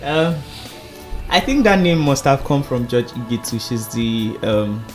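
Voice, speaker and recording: A man chats as a radio guest, playful and animated, laughing between stories. His voice sits mid-range for a man. Loud music plays under him throughout. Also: mid-range voice, unhurried. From Nigeria. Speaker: singing contest finalist guest. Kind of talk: casual radio interview.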